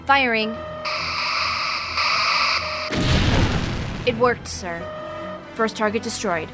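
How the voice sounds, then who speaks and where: A woman's voice: a little high, a little slow, lightly acted. A woman playing a blunt, self-assured tactical lieutenant in a fan audio drama, with music behind her. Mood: tense.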